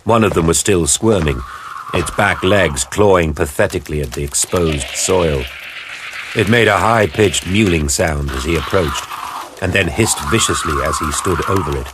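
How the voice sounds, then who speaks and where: A man narrating an audio drama in a measured, grave storytelling style. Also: low-pitched, background noise. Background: music.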